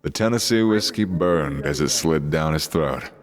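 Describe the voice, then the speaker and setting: A man's voice: a bass voice, expressive, moderate pace. A man reading scripted narration for an audio drama as its narrator.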